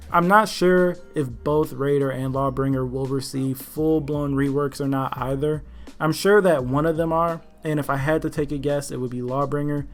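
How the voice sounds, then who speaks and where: Man, slightly high voice, gaming news commentary, casual and opinionated, speaking to viewers, faint background music. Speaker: video game news YouTuber.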